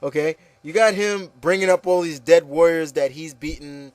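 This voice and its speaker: A man's voice: a little high, normal speed, no room sound. A man hosting a casual panel chat about movies, talking in a rambling, enthusiastic way and often saying "you know". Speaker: host of an opinion show.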